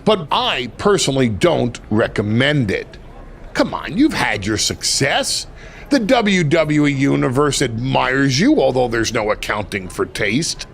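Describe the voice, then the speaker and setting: An actor playing a sly, grandiose retired wrestling manager in a video game, with ominous, theatrical delivery and deliberate dramatic pauses. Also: male voice, fairly high pitch, creaky, fairly bright tone, a little hiss or hum, exaggerated voice. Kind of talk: video game cutscene dialogue.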